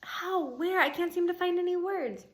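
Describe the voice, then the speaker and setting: A woman voicing a big, gentle male silverback gorilla in a storybook, earnest and full of feeling. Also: very high-pitched, normal speed, voice pushed up. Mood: amazed. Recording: roomy, echoing room sound.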